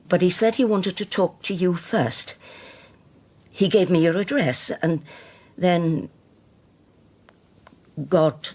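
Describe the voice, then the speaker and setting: An actress playing a grave, devout older Scottish widow in a radio drama, speaking solemnly and haltingly. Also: female voice, lowish voice, darkish tone, husky, heavily acted. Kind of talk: naturalistic radio drama acting.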